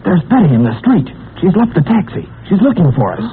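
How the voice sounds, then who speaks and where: An actor playing a brave teenage adventurer who takes charge, in a 1940s radio serial on a tinny, hissy old recording. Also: male voice, high voice, big pitch swings. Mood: urgent. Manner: brisk and take-charge.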